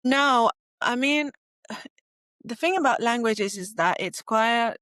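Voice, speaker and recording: A woman's voice: a little high, expressive, slow pace, a bit bright, no echo. Hesitant young woman guest, dry sound. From Bulgaria. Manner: hesitant, many fillers, friendly. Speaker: guest, design studio founder. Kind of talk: podcast interview.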